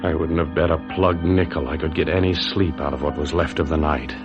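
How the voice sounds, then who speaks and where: A very deep-voiced American bitter, trapped husband in a radio mystery. He sounds brooding and melodramatic, a weak schemer, with prominent music behind his voice. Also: steady tone, fairly quick, lo-fi sound. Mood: weary, bitter. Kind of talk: old-time radio mystery narration and acting.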